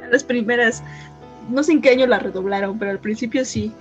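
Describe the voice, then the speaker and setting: A woman's voice: a little high, normal speed, lo-fi sound, over loud music. A woman chatting casually as a podcast co-host, laughing often and stopping mid-sentence to search for names. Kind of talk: casual chat.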